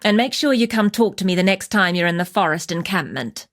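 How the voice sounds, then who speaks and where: An actress playing a tough, wry wilderness huntress in a video game, speaking in a measured, dry, matter-of-fact way as she hands out hunting quests. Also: medium pitch, a bit bright, lightly acted. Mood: approving, purposeful. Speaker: game character voice actor.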